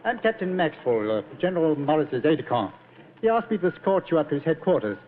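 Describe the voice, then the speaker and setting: An actor playing a polite, efficient army captain, a general's aide, in an old radio drama. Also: male voice, on the high side, very animated, fast. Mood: welcoming. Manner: polite, officious. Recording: narrow old radio recording, faint noise.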